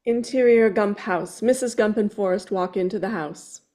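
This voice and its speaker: A woman's voice: mid-range voice, moderate pace, dark tone. A woman reading a screenplay aloud as narrator at a table read. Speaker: script reader and narrator.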